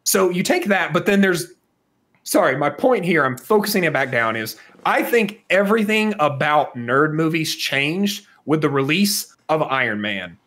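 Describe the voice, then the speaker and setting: Higher-pitched man, emphatic podcast talk. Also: very animated, moderate pace, a bit bright. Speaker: podcast co-host. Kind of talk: casual opinionated podcast discussion.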